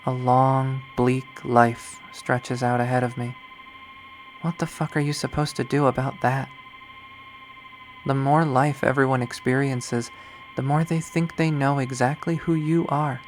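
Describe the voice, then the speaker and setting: A man's voice: mid-range voice, natural voice, low-quality recording. A man voicing a jaded, unhinged young woman artist in a bitter, profane, rambling monologue from a visual novel. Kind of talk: dramatic visual novel readthrough.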